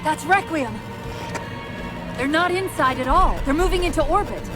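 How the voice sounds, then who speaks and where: A woman voicing a quick-witted young female military AI in a video game, with articulate, urgent briefing delivery. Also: high voice, lively intonation, music in the background. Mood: focused, intrigued.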